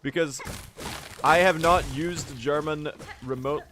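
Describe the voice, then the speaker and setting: A man's voice: on the high side, slow pace, bright, forceful tone, slight background noise. Chatty rambling man streaming a game. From Sweden. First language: Swedish. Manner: rambling, self-correcting, chatty, mild swearing. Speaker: speedrunning streamer. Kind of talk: casual livestream chat while playing.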